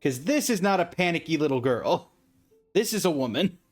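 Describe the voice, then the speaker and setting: A woman's voice: sits low, lively intonation, moderate pace. A woman giving casual reaction commentary as she plays through a game's story. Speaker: game streamer reacting to story. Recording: game music behind the voice.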